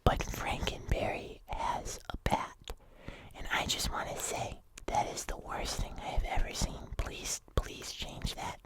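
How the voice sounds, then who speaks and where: An ASMR host talking to viewers in a soft, unvoiced whisper, chatty, casual and unhurried, close to the microphone. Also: poor audio. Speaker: host of an ASMR eating video.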